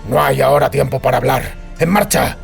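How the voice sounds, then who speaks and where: A man's voice: medium pitch, a little fast, creaky, voice pushed up. Audiobook hero, male monster hunter: grim, terse, decisive, commanding, performed by the narrator, light music behind. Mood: firm, urgent. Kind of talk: dramatised audiobook character.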